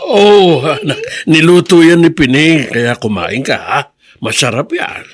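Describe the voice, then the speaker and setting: A man's voice: a little high, animated, a little slow. A Filipino man acting a fond old grandfather in a radio drama, with a hesitant, folksy, tender manner. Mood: coaxing, flustered.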